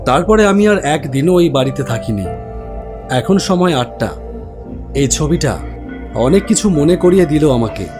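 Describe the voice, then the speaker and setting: A man narrating a scripted ghost story in the first person, with an expressive, suspenseful delivery. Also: a little high, measured pace, pauses often. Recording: music bed under the voice. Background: background music.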